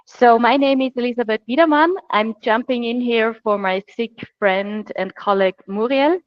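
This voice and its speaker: A woman's voice: fairly high pitch, normal speed, no room sound, lo-fi sound. A woman with an Austrian accent presenting a product webinar in English, friendly and self-deprecating, explaining step by step. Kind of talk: online presentation.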